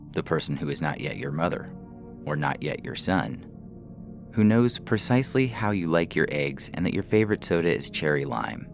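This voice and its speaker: A man with an exceptionally deep voice narrates a short story. His tone crackles with gravelly fry, and he phrases deliberately, leaving pauses. Soft music runs faintly underneath. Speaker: story reader. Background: faint background music.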